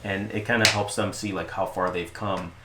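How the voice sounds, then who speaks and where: A man talking casually as an interview guest about the club he runs, relaxed and joking, with lots of restarts and fillers. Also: sits low, normal speed. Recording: echoey room with faint noise.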